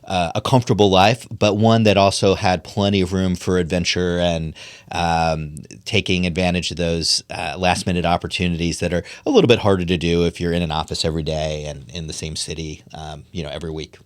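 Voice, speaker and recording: A middle-aged man from Pennsylvania speaks as a podcast guest, his voice sitting very low. He talks earnestly and thoughtfully, pausing on ums and restarting phrases. The sound is dry and close, with no room around it. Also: level tone, clear, resonant tone, no room sound. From Pennsylvania, USA. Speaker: podcast guest, political strategist. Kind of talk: conversational podcast interview.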